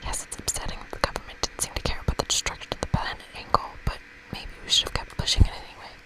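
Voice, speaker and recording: A woman's voice: racing, fairly bright tone, close to an everyday voice, poor audio. Woman as an earnest whispering young magic camper. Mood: uneasy.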